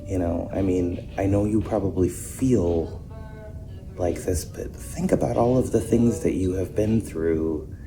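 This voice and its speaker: A male actor as a deep-voiced skeptic in an audio drama, rattled but trying to sound reasonable. He speaks slowly and haltingly, tripping over his words in a natural, conversational way. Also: over music, rough recording. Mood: nervous, reasoning. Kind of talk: naturalistic fiction podcast acting.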